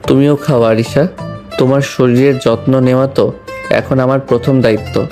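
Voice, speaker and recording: A man acting as a courteous young husband in an audio drama. He speaks calmly in a flat, even pitch with measured, deferential phrasing, over clearly audible music. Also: lightly acted. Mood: polite, doting. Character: polite, calm young orphan posing as doting husband.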